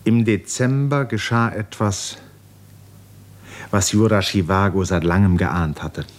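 A grave male storyteller in a 1950s German radio play. He narrates a tragic novel with measured, literary weight, very slowly and in a fairly low register. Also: fairly even intonation. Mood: bleak, solemn.

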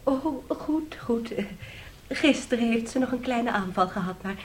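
An actress playing a shy, eager young niece in a radio play, polite and hesitant. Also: female voice, on the high side, lively intonation, measured pace, slight background noise. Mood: polite, nervous. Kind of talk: Dutch radio play acting.

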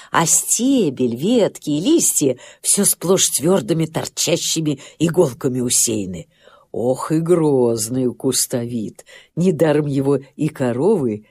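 A woman storyteller narrating a scripted children's nature tale in an expressive, dramatic way. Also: low-pitched, slightly rough. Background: music.

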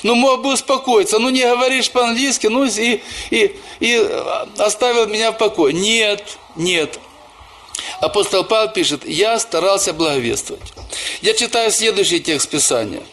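A man's voice: high voice, moderate pace, a bit bright, a little hiss or hum. A man who is a church pastor, preaching a sermon and telling personal stories, often calling the listeners brothers and sisters.

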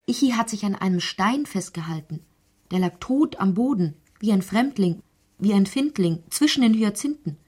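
A woman narrating a literary audio drama in a breathy voice that often drops toward a whisper, reciting deliberately and poetically. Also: mid-range voice, animated, darkish tone, dry recording, studio quality.

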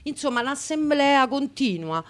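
A woman's voice: high-pitched, expressive, a little slow. A woman co-hosting a live show in a casual, playful, chatty way, often repeating phrases. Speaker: radio co-host and theatre activist.

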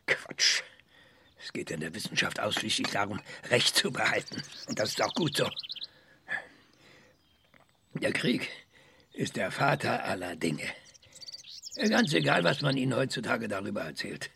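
An actor playing a proud, combative old science professor in a German radio drama, speaking insistently in halting, declamatory bursts. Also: male voice, on the low side, very animated, creaky, bright, forceful tone, a little hiss or hum, exaggerated voice. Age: older.

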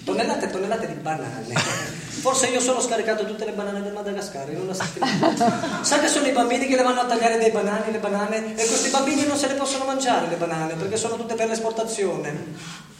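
A man's voice: high-pitched, animated, a little fast, noisy background. An actor playing a blunt, hot-tempered male temp worker in a stage play, brusque and mocking. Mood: sardonic. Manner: brusque, mocking, laughs a lot. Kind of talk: stage play recorded for radio. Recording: echoey distant stage recording with audience.

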